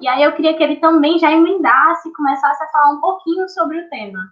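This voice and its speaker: Teen girl of 18 co-hosting, highish voice, bit lo-fi. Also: quick pace, a bit bright. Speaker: youth livestream co-host. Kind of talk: casual introduction and chat.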